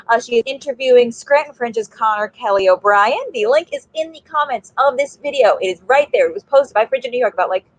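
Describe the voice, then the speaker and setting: Woman host w a slightly high voice, informal halting livestream announcements. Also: poor audio. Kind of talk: casual, unscripted livestream announcement.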